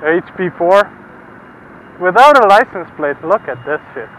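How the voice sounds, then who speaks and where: A man's voice: high-pitched, expressive, unhurried, fairly bright tone, rough recording. A young man talking casually from his motorcycle while riding, laughing often and swearing freely. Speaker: motovlogger. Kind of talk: casual helmet-cam commentary. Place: on a motorcycle outdoors on the road. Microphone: helmet-mounted mic. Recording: faint road and engine noise.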